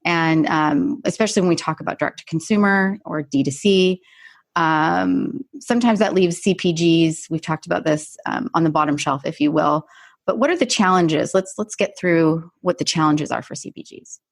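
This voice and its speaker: A woman's voice: sits low, normal speed, no echo. A woman hosting a podcast interview in a conversational, presenting style. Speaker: podcast host.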